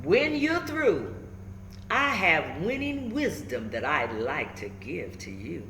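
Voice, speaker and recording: A kindly, wise old storybook grandfather figure acted by a woman hands out encouraging advice. The delivery is slow and emphatic, with big rises and falls, in an echoey room. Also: low-pitched, big pitch swings, pushed and bright, heavily acted. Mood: firm, kindly. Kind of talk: children's storybook character reading. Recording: roomy echo with faint background noise.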